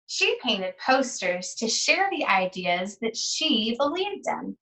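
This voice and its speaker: Woman, higher-pitched, bright voice, slow storybook read-aloud, expressive pauses. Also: gravelly, distant mic, rough recording. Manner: deliberate, expressive phrasing with pauses. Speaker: art teacher reading aloud.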